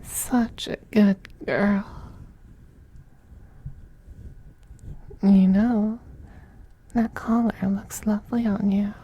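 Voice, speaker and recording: A man playing a possessive, teasing femboy vampire in an intimate ASMR roleplay, speaking softly and breathily, often in a whisper, with coaxing, condescending affection. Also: high voice, little pitch movement, slow, full character voice. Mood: possessive, affectionate. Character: possessive, teasing, doting femboy vampire master styled as mistress.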